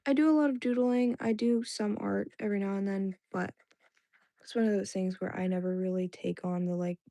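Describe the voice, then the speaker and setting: A woman's voice: medium pitch, fairly even intonation, fairly quick, warm tone, dry recording. A young woman whose first language was Indonesian, chatting casually with her stream viewers in a rambling way, full of "like"s and restarts. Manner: rambling, filler-heavy, many "like"s and restarts. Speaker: livestream host. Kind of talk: casual chat with live chat viewers.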